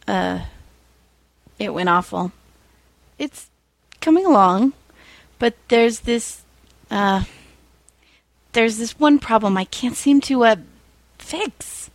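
A woman's voice: fairly high pitch, animated, takes it slowly, gravelly, long pauses, no echo, full character voice. A woman voicing a shy, insecure young man from a story, awkward and hesitant with stammering. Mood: glum, hesitant.

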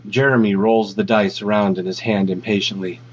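A man's voice: fairly low pitch, steady tone, fairly quick, slightly rough. A man reading a piece of fiction aloud as scripted narration. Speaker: fan fiction reader. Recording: dull, lo-fi audio.

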